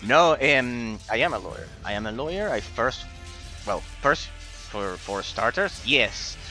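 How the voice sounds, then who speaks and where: A man with an Argentine Spanish accent talks to his game-stream viewers in a bright, medium-pitched voice. He is effusively polite and often repeats short words and phrases as he chats. Faint music plays behind him. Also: animated, a little slow. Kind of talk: casual live-stream chat with viewers.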